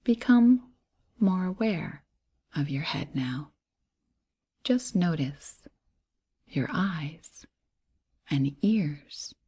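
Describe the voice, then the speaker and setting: A woman guiding a breath and body scan meditation in a soft, breathy voice, soothing and gentle, pausing between short phrases. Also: lowish voice, animated. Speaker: meditation guide.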